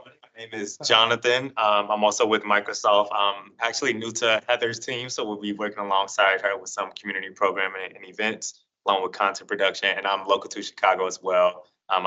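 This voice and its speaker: A man introduces himself casually in a fairly low, very even voice with a crisp, bright edge. The recording is slightly dull and muffled. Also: fairly quick. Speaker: tech company employee introducing himself.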